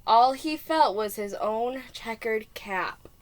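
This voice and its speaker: Slow, higher-voiced woman reading to children. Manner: expressive, deliberate, stressing key words. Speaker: preschool teacher reading aloud. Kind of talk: children's storybook read-aloud with asides.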